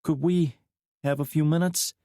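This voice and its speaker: A tough, sharp-tongued woman agent who presses hard with pointed questions, put on by a male narrator. The voice sits far higher than his own and rises further when she pushes. The sound is dry and close. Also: fairly even intonation, normal speed, no echo, close to an everyday voice. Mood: confrontational. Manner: pointed, challenging, exasperated.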